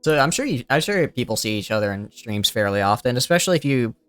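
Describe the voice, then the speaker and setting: A man's voice: medium pitch, animated, quick pace, fairly bright tone, clear, resonant tone, dry recording, faint music behind. A young man streaming a game, chatting casually and rambling as he thinks aloud, with a youthful-sounding voice. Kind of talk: casual gameplay commentary.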